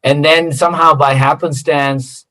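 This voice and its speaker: Relaxed middle-aged American man. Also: on the high side, unhurried, rough recording. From United States. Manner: relaxed, friendly, many fillers. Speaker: consultant and author guest. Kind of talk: casual interview answers.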